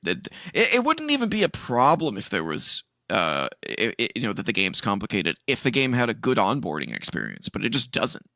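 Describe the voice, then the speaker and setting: A man co-hosting a casual gaming podcast, laughing often and reading out news items in a relaxed drawl. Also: on the low side, fairly quick, vocal fry, hardly any pauses, dry recording, clean studio sound. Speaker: podcast co-host.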